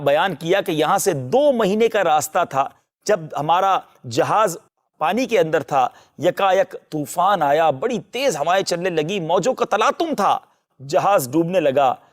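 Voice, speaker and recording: Male reader, a little high in pitch, delivering a religious story in formal wording. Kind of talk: reading a religious tale aloud in formal language.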